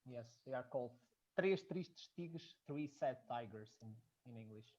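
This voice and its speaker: A native Portuguese speaker with a Portuguese accent, talking informally and haltingly in an online discussion, often repeating words. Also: mid-range voice, animated, a little mellow, lo-fi sound. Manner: hesitant, halting with repetitions. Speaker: audience member, student researching Blake and music.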